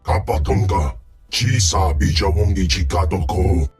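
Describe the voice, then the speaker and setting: An actor playing a gruff alien crime lord with a rough, husky voice, speaking an invented tongue in a video game. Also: male voice, low voice, expressive, unhurried, a little mellow, exaggerated voice. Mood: domineering. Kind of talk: video game character acting. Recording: music under the voice.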